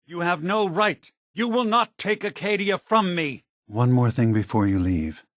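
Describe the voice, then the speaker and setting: An actor playing a calm, grave synthetic man, a weary scheming leader, with a husky, measured, deliberate delivery for a video game. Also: high-pitched, dry recording, studio quality. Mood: angry, defiant, then earnest warning.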